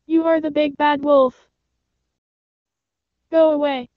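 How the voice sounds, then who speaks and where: Woman voicing little goat kids, high, bold, scolding, storybook, lo-fi. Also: little pitch movement, slow pace, no room sound. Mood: defiant. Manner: scolding, defiant. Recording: dull lo-fi sound, dry.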